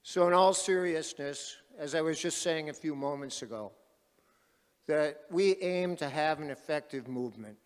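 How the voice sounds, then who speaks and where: A man moderating a panel at an activist conference, speaking loudly and calling out to the crowd like a rally speaker. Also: normal speed, clear, resonant tone. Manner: loud, booming, calls out to the crowd. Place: large conference hall. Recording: reverberant hall.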